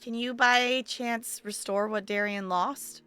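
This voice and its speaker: A woman game streamer chatting casually and in an animated way with her livestream audience as she plays. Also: fairly high pitch, takes it slowly, smooth, no room sound. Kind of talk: casual livestream chat and reading game dialogue. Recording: music behind the voice.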